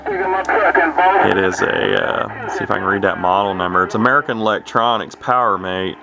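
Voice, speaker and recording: A man giving a casual, unscripted hobbyist demonstration, talking in a halting, rambling, matter-of-fact way. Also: fairly low pitch, sing-song, creaky, a crowd in the background, rough recording. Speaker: radio hobbyist demonstrating a repaired CB radio.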